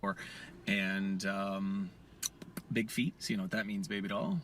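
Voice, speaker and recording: A man talking casually in a halting, boastful way, recorded from a distance. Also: sits low, level tone, fairly bright tone. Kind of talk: casual talk in a played video clip. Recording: distant, noisy clip playback.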